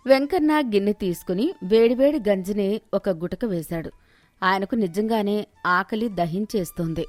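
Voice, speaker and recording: Woman reading a moral story, medium pitch, no echo, soft music underneath. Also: normal speed. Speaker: story narrator. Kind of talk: scripted moral-story narration.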